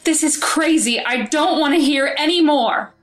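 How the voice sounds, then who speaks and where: A woman's voice: high-pitched, moderate pace, fairly bright tone, soft background music. A woman playing a protective, distressed mother in an audio drama, defensive and anxious about her child. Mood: incredulous, defensive.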